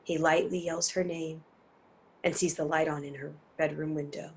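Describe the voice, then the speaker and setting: Low, creaky, even-toned female narrator. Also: normal speed, slight background noise. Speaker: narrator reading stage directions. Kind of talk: scripted narration.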